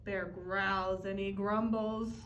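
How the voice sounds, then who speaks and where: A woman preschool teacher reading aloud expressively and deliberately to young children. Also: medium pitch, little pitch movement, a bit bright, lo-fi sound. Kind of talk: animated children's read-aloud. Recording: roomy echo, distant mic, faint noise.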